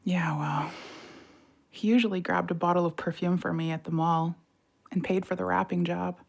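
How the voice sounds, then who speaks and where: A woman's voice: on the low side, fairly even intonation, normal speed, gravelly, darkish tone. A woman playing a weary, guarded wife and mother in a naturalistic audio drama, plain-spoken and restrained. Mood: hurt, guarded.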